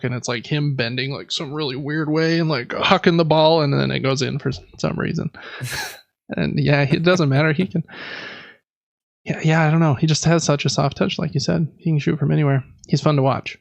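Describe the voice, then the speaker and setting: American man with a gravelly, higher-pitched voice. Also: fairly even intonation, fairly quick, dry recording, dull audio. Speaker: podcast host. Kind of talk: casual podcast chat.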